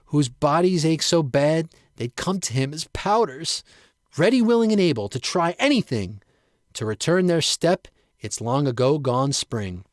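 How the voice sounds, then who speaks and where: A man's voice: fairly high pitch, expressive, unhurried, no echo, clean studio sound. A man reading his own rhyming narrative poem as a storyteller. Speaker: poet reading own work.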